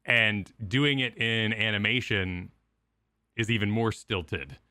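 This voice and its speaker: Male gaming co-host, sharp-edged low voice, dry close sound, measured and opinionated in casual discussion. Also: dry recording. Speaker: co-host of a gaming reaction stream.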